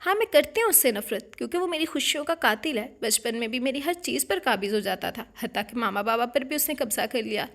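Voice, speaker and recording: A woman's voice: fairly high pitch, animated, gravelly, fairly bright tone, heavily acted. Woman reading as a bitter, furious young bride. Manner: emotional, raised voice.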